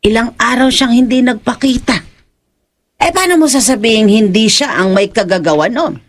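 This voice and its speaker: A woman's voice: on the high side, lively intonation, moderate pace. Insistent older aunt played by a woman. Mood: accusing. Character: suspicious, persistent older aunt confronting a neighbour. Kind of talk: Filipino audio horror drama acting.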